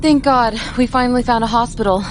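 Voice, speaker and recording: Woman acting a impatient young wife in an audio drama, kinda high voice, snippy and practical, no-nonsense. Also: noisy background, close to an everyday voice. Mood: alarmed, baffled. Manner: brisk, exasperated, no-nonsense. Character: impatient, practical, sharp-tongued wife on a road trip.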